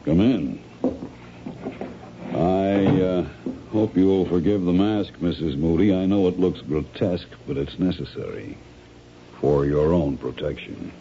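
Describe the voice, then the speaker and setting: An actor playing a polite, calculating masked crime planner in a radio drama, speaking in formal, measured, persuasive phrases. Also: male voice, sits low, dark tone. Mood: politely reassuring. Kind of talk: 1970s radio drama acting. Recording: dull, noisy old radio drama recording.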